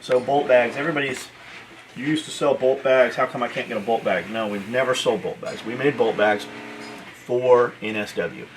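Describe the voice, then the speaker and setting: A man's voice: mid-range voice, rough recording. Blunt man hosting over noticeable background noise. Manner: blunt, profane, halting explanations. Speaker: host of a military gear video. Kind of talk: casual unscripted chat.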